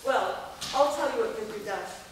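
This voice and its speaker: A woman's voice: mid-range voice, lively intonation, normal speed, lightly acted. A schoolgirl playing a bold young woman author who tells a gothic horror story in a dramatic, teasing way on stage. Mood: intense, building. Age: child or young teen student. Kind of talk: stage reading of a gothic radio play. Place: large echoing school performance space. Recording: echoey hall from far off, faint noise.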